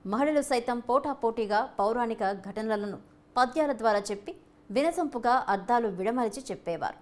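A woman's voice: fairly high pitch, expressive, brisk. A woman reading scripted narration for a documentary-style news feature.

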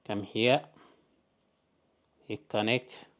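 A man hosting a step-by-step tech tutorial, talking through each setting in plain, deliberate instructions at a desk indoors. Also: fairly low pitch, steady tone, a bit bright. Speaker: tutorial host.

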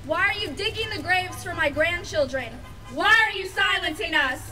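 High, bright-voiced young woman poet declaiming. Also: moderate pace, distant mic. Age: young adult. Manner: impassioned, declamatory. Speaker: youth climate activist and spoken word poet. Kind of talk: spoken word poetry at a rally. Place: outdoor rally stage under a canopy. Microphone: stage microphone at a podium. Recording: outdoor PA, music and crowd behind.

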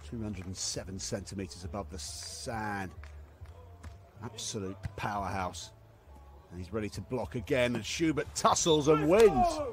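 A man giving live beach volleyball commentary in clipped phrases that swell on big points. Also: medium pitch. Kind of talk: live sports play-by-play commentary.

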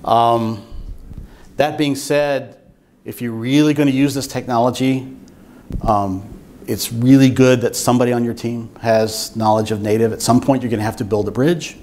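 An older man presents technical material to a meetup audience. He explains in an informal, measured way, with a voice of ordinary male pitch. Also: steady tone, a little mellow. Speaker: software engineer giving a talk. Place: meetup room with seated audience, indoors.

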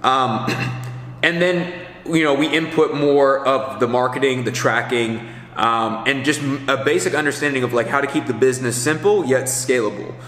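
A man's voice: mid-range voice, moderate pace, a bit bright, faint music behind. A man in his thirties giving casual, unscripted coaching advice in a rambling, conversational way, thinking aloud as he goes. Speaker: business coach for barbers. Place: large indoor kitchen area with hard surfaces. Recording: echoey, reverberant hard-walled room.

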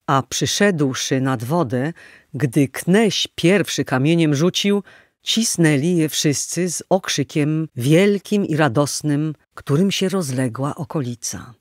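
A man narrating an old tale as a scripted audio drama, in a solemn, measured, literary manner. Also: fairly high pitch, animated, no echo, clean studio sound. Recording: music playing behind the voice. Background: music.

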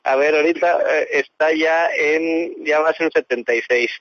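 A man's voice: a little high, fairly even intonation, moderate pace, a bit bright, no echo. A young man with a Mexican accent talking casually on a phone call. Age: young adult. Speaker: campaign social media coordinator. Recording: dull, dry phone line.

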